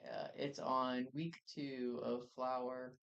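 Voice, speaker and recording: A man with a mid-pitched voice co-hosts a relaxed, informal chat. He sounds laid-back and conversational, as if talking with friends and followers. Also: slow, smooth, muffled recording. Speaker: podcast co-host reading viewer comments. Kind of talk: casual chat.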